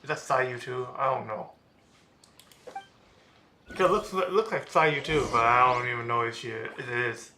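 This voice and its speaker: A male streamer giving casual, rambling, halting commentary while playing a game. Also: medium pitch, bright, forceful tone, a little hiss or hum. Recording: echoey room with game music.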